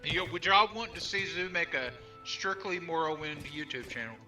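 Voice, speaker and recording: Male co-host, high and piercingly bright voice, pushy casual chat, faint music behind. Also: animated, normal speed. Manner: pushy, keeps pressing questions.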